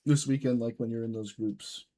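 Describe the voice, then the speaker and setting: A young man hosts a live stream, answering viewers in loose, profane banter. His voice sits at an ordinary middle pitch and his talk is casual and offhand. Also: steady tone, normal speed, mellow. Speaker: livestream host reading chat.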